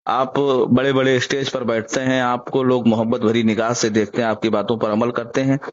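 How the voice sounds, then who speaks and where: A man's voice: flat delivery, a little fast. A man interviewing by phone for a news report, putting pointed, indignant questions. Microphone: phone call. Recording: dull low-fidelity phone call recording.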